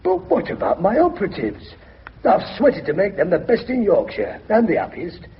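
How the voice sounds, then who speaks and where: An actor playing a proud, indignant British mill manager, incredulous and emphatic, in a radio drama. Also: male voice, high-pitched, huge pitch swings, normal speed. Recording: dull noisy radio recording.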